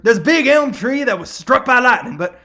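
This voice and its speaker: A man playing a folksy, excitable old rural yarn-spinner in a radio play, rambling and halting with a creaky voice. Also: extremely high pitch, heavily acted. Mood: rambling, wary. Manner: rambling, halting, repeats himself, rustic speech. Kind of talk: vintage radio drama acting.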